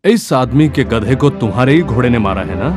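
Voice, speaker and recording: A man playing a wise, authoritative royal advisor in a children's animated story. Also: medium pitch, brisk, soft background music, natural voice. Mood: questioning, firm.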